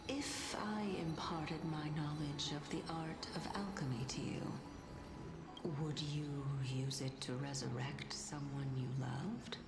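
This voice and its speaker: An actress playing a wise, wry woman scholar in a game, with a poised and deliberate delivery. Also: low voice, steady tone, noisy background. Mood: probing. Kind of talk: game voice acting. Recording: roomy game audio with music behind.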